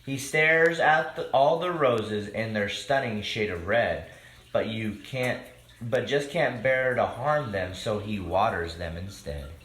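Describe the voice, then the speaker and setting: A man's voice: fairly low pitch, slow pace, fairly bright tone. A man reading a children's storybook aloud expressively and speaking directly to his young listeners. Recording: echoey room with background noise.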